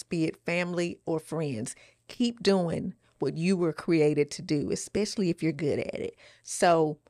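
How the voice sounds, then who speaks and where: A woman's voice: fairly low pitch, a little mellow, no echo, clean studio sound. A woman co-hosting a podcast, giving advice in a casual, emphatic way, repeating phrases for stress. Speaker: podcast co-host.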